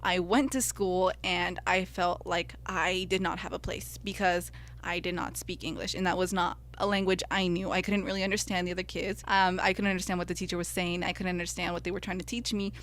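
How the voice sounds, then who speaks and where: A woman's voice: on the low side, little pitch movement, fairly quick, fairly bright tone. A young woman from the United States whose first language is Spanish, co-hosting a podcast and sharing her own experiences in an earnest, heartfelt way. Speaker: podcast co-host. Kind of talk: personal reflective podcast talk.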